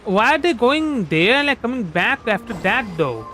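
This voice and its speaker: A man's voice: very high voice, very animated, moderate pace. A young man from India doing casual live game commentary, musing aloud and repeating himself. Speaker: VTuber game streamer. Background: soft background music.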